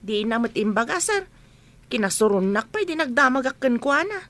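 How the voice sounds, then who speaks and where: A woman's voice: a little high, sing-song, moderate pace, fairly bright tone. A woman playing a gossipy young housemaid in an Ilocano radio comedy drama, with an Ilocano accent. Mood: flustered, deferential.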